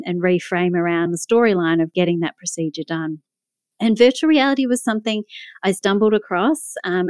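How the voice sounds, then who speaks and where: Clear-voiced Australian woman, fairly low. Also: a little mellow, dry recording. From Melbourne, Australia. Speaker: guest, pediatric doctor. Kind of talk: conversational interview storytelling.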